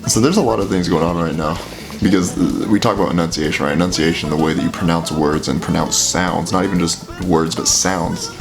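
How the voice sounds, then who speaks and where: Deep-voiced guy breaking down a song he's reacting to, gravelly, music going underneath. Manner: casual explanatory, filler-heavy. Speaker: reaction video host. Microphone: headphones with mic. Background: music playing.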